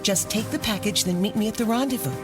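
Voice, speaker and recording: An actress playing a cool, brisk young secret agent in a 1990s radio drama. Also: female voice, mid-range voice, music in the background, natural voice. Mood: reassuring, urgent.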